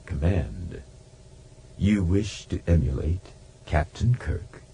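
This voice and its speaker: A man's voice: low voice, sing-song, takes it slowly, gravelly, warm tone, slightly rough, exaggerated voice. Man voicing a cool, probing starship computer. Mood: cool, questioning. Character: ship's computer, cool, probing, lovesick machine.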